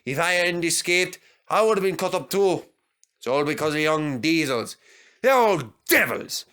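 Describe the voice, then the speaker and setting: A man's voice: fairly high pitch, lively intonation, normal speed, bright, forceful tone, smooth, pitched above the performer's own voice. A man voicing a grumbling, fierce-hearted steam engine in a children's story, blunt and emphatic. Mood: fierce, bitter.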